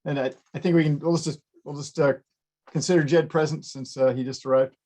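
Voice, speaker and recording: A man chairing a community advisory meeting over a video call, talking haltingly with many fillers and restarts. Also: on the high side, steady tone, darkish tone.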